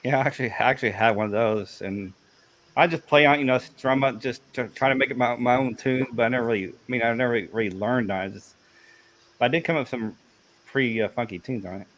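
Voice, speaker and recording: A man hosting a casual chat, relaxed and a bit hesitant with fillers. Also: medium pitch, fast talker, rough recording. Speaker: host.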